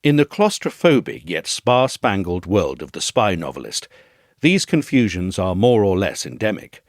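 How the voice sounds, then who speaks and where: A man reads a scripted literary essay in a measured, articulate and expressive way. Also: low voice, no echo, clean studio sound. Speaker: audio essay reader.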